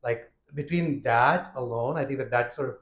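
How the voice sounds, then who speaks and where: A man of ordinary pitch hosts a relaxed conversation, his first language Urdu. He talks in a loose, reflective way, full of fillers and affirmations. The room adds a clear echo around his voice. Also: moderate pace. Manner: warm affirming, frequent fillers. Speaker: podcast host. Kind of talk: casual conversational interview.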